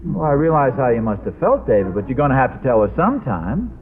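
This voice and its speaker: A man playing an affable, mildly pompous middle-aged suburban father in a vintage radio sitcom, speaking in a mild, slightly halting way. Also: medium pitch, sing-song, lightly acted. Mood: mild, moralizing. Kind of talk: 1940s-50s radio sitcom acting. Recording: noisy old radio broadcast, narrow band.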